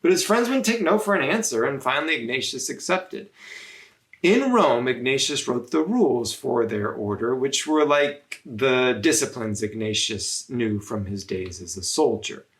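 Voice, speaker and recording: A man with a medium-pitched voice and noticeable fry narrates a storybook for children. He phrases deliberately and expressively, leaving pauses as he goes. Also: lively intonation, fairly bright tone. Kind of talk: read-aloud storytelling narration.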